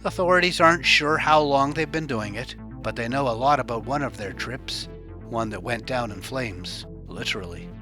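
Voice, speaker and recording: A man narrating a scripted true-crime news report as its host, in a wry, colloquial storytelling manner with dramatic pauses. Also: medium pitch, over music.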